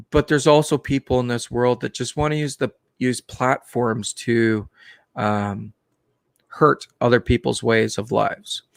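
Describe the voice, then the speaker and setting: A man hosting a live stream about the aquarium hobby, talking casually in a monotone, with many fillers and restarts. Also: mid-range voice, no echo. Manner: plain, unhurried talk with many fillers and restarts.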